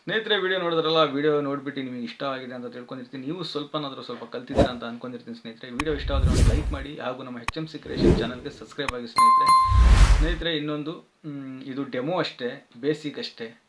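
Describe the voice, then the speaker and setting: A man's voice: mid-range voice, fast talker. A man hosting a channel, casually and earnestly addressing his viewers as friends. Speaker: channel host. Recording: faint background noise.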